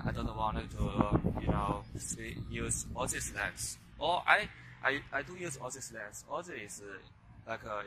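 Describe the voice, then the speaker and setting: A young man with a Chinese accent talking in a casual vlog, hesitant and full of fillers and restarts, recorded on a handheld phone while walking outdoors on a campus. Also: mid-range voice. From China. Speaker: vlogger. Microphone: handheld phone camera while walking. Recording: outdoor noise behind the voice.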